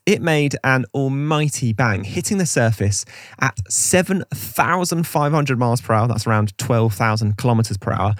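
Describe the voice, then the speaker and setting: A man presents a podcast from a script in a dry, close-sounding recording. His voice sits at a middling pitch. He slips chatty, wry asides into the presenting. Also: animated, normal speed, no echo. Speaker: podcast co-host.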